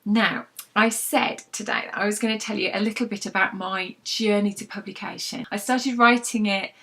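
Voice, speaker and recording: A woman's voice: medium pitch, steady tone, normal speed, gravelly, pushed and bright. A British woman, a novelist, chatting casually to her followers in an anecdotal, self-deprecating way. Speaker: novelist hosting a read-along vlog. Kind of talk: casual chat to followers with book reading.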